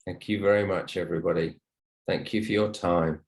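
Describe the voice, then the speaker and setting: Low-pitched male English teacher. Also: fairly even intonation, brisk, darkish tone, rough recording. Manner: polite, encouraging, thanks listeners often. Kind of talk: online lesson talk to students. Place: online video call session.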